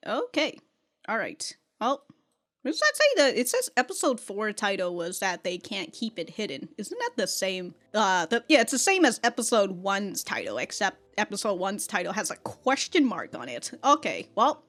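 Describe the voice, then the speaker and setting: A woman giving casual reaction commentary as a host, with slangy, giggly, animated asides. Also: medium pitch, moderate pace.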